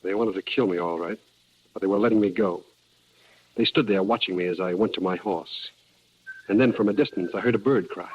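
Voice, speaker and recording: Low-voiced plainspoken frontier prospector. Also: male voice, fairly quick, pauses often, breathy edge. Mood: measured, grave. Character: plainspoken former soldier turned prospector telling his own story. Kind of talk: 1950s radio drama narration. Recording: dull old radio recording, loud music behind.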